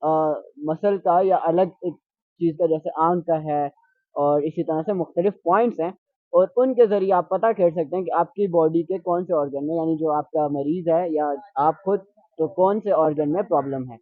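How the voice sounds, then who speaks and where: A man's voice: on the high side, normal speed, dry recording. A man summarizing a class demonstration for students in plain explanatory speech. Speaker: co-instructor summarizing. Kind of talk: classroom recap. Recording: tinny phone-like sound.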